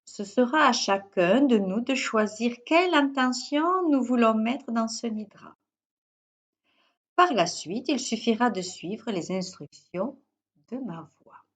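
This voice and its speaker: A woman leads a guided meditation. Her voice sits fairly high, and she speaks very slowly. She gives instructions in short, measured phrases with pauses between them. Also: expressive. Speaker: yoga teacher guiding a relaxation session. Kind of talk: guided yoga nidra meditation.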